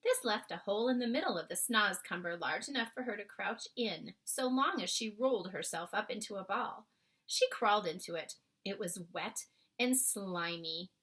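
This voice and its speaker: A woman's voice: medium pitch, bright, forceful tone. A woman reading a children's book aloud as a storyteller, with short asides to listeners. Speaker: storybook reader.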